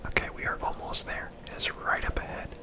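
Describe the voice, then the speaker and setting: Asmr gamer whispering the whole time, breathy and chill, casual game commentary. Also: brisk. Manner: laid-back, casual. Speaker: host, gamer.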